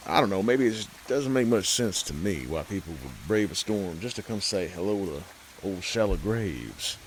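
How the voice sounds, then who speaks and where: A man with a low, deep voice tells a scary story in a folksy, unhurried way. He talks right to the listener like a host by the fire. There is a faint hiss behind him. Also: animated, low-quality recording. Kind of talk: scripted horror story narration.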